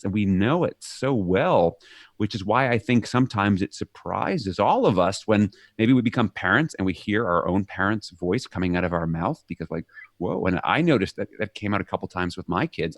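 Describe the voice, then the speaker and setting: A middle-aged man with a very low voice gives an animated guest interview. He jumps into loud acted-out quotes, then sinks quiet and soft. The sound is dry and close. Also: no room sound. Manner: animated, acts out quoted shouts. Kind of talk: conversational interview.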